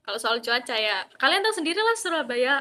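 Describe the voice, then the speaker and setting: A woman's voice: high voice, very animated, fast, pushed and bright, slight background noise. A young woman from East Java with an East Javanese Indonesian accent, chatting animatedly about student life. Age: young adult. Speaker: vlogger, university student. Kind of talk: casual chat.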